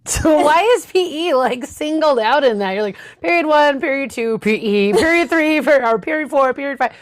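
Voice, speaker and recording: A woman's voice: high-pitched, moderate pace. A middle-aged woman in casual family banter as a podcast co-host, with a teasing, mock-exasperated manner. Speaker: podcast co-host, parent of the other speaker.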